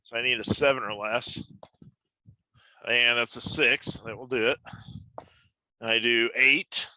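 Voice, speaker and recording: A man's voice: medium pitch, fairly even intonation, measured pace, sharp tone, dry recording. A man who is a player in a tabletop role-playing game, talking casually through his moves, heard on a slightly dull recording. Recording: slightly dull, band-limited sound.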